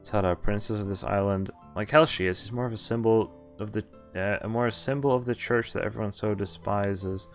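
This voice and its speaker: A man's voice: lowish voice, steady tone, a little fast, few pauses. Young man reading a game aloud, music behind. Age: young adult. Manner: casual, breaks off to joke and comment. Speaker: streamer reading a visual novel aloud. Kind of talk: live game playthrough, reading text with casual commentary.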